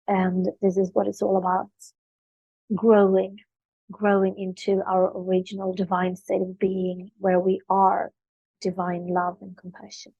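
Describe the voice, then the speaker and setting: A woman's voice: mid-range voice, completely flat delivery, measured pace, creaky, mellow, husky, no room sound, highs cut off. A middle-aged woman whose first language is Swedish, from Sweden, speaking earnestly in English about spiritual growth in a podcast interview. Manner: earnest, flowing spiritual talk. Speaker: author and spiritual coach.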